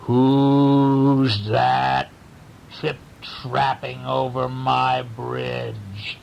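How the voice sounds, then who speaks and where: A man voicing an ugly, hungry bridge troll with a slow, menacing manner in a bedtime story. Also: mid-range voice, steady tone, gravelly, lo-fi sound, exaggerated voice.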